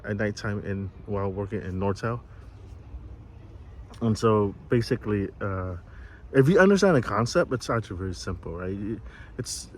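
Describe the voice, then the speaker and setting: Low-voiced man from Vietnam, noisy outdoor recording. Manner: halting, with broken-off, repeated phrases. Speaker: vlogger and software developer. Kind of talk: informal unscripted monologue to camera. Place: outdoors, city view behind.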